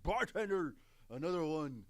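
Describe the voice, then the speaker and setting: A man's voice: on the high side, expressive, moderate pace, no echo, studio quality, close to an everyday voice. A man voicing a drunk, blustering wannabe Sith Lord for an audio drama, slurring and stumbling boisterously over his words. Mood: exuberant, drunk. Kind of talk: audio drama voice acting, multiple takes.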